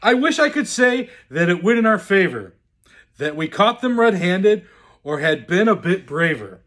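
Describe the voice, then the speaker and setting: A man reading a rhyming children's storybook aloud in a theatrical, deliberate, expressive way. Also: high-pitched. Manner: theatrical, deliberate, swooping delivery. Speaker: storyteller in the role of Santa.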